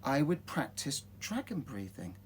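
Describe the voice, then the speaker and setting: A man voicing a gentle, patient mother dragon in a storybook, softly and soothingly. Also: mid-range voice, huge pitch swings, measured pace, a little hiss or hum. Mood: reassuring, patient.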